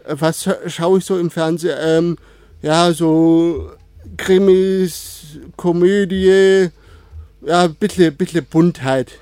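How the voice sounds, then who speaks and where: A man in his twenties, Turkish as his first language, answering interview questions in a casual, halting way with many fillers and restarts. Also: a little high, steady tone, a little mellow, smooth, no room sound. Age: 20s. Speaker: radio guest.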